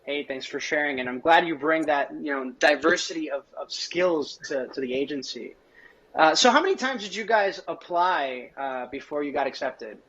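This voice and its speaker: A man's voice: a little high, lively intonation, moderate pace, a bit bright, slightly rough. A man hosting a panel interview over a video call, upbeat and conversational. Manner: upbeat, conversational, casual fillers. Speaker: host. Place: home room with plants. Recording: dull lo-fi call audio.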